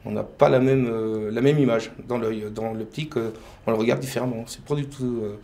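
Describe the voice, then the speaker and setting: A man's voice: mid-range voice, steady tone, a little fast, gravelly, dark tone. A man from France, a painter and decorator, answering interview questions hesitantly with many fillers.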